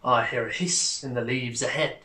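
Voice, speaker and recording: A man's voice: mid-range voice, fairly even intonation, normal speed, sharp tone, reverberant, pitched below the performer's own voice, lightly acted. A man voicing a big, hungry, gullible monster in a storybook, blunt and easily amused. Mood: hungry, wary.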